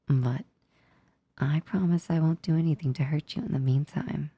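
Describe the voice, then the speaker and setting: A female sleep paralysis demon, played by a woman for an ASMR roleplay. Her voice is low, husky and mellow, often sinking to a whisper very close to the mic. She speaks slowly, shifting between teasing menace and awkward tenderness. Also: no room sound, full character voice. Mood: gentle, soothing. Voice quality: soft, breathy, often whispering. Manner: slow, intimate, close-up delivery. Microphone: close, dry microphone.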